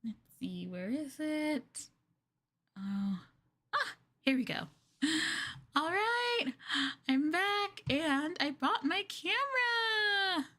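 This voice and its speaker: A woman voicing a sweetly possessive, obsessive young girlfriend in an ASMR roleplay, coaxing and cooing between soft speech and whispers. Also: high-pitched, very animated, drawn-out words, voice pushed up, heavily acted. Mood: giddy, adoring. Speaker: ENVtuber streamer performing ASMR roleplay.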